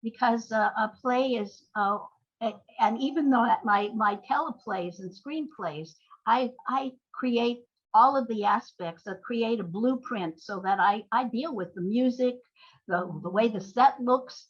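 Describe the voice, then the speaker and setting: A woman's voice: on the high side, measured pace, breathy edge, lo-fi sound. An older woman giving conversational interview answers, explaining things through anecdotes with emphatic agreement. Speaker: guest, playwright and screenwriter.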